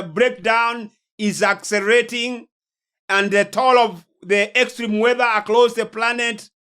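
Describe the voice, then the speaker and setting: A man from Kenya with a Kenyan accent, preaching in a solemn, deliberate lecture style with emphatic stresses. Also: sits high, bright, forceful tone. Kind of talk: sermon-like religious lecture.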